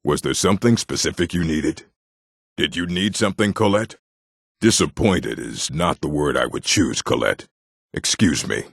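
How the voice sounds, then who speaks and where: Very deep gravelly gruff orc. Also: male voice, expressive, normal speed, no room sound, clean studio sound, full character voice. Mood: dry, irritable. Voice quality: gravelly, husky. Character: gruff male orc, stern bookish keeper and warrior. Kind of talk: video game character voice acting.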